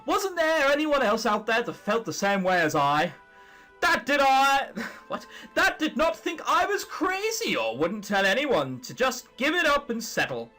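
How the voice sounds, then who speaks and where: A man's voice: very high-pitched, huge pitch swings, unhurried, sharp tone, faint music behind, exaggerated voice. A man voicing a fretful, embarrassed young female troll who narrates her story in an earnest, dramatic way. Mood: weary, wistful. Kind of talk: visual novel narration read aloud.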